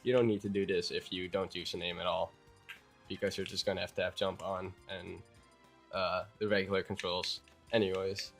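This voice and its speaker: A man's voice: low-pitched, little pitch movement, moderate pace, faint music behind. A young man with a Dutch accent, a bit sick, talking through a game tutorial in a rambling, hesitant way. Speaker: YouTuber.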